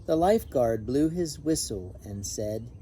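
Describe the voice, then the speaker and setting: Animated man reading a children's storybook. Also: medium pitch, a little slow, slight background noise. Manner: animated, emphatic on repeated refrain. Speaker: pastor reading a children's picture book. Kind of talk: expressive storybook read-aloud with brief asides.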